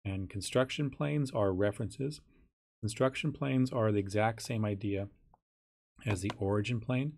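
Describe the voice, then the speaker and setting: A hoarse, raspy man teaching a software tutorial in a casual way, thinking aloud with ums and restarts. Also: lowish voice, moderate pace. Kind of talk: screen-recorded software tutorial.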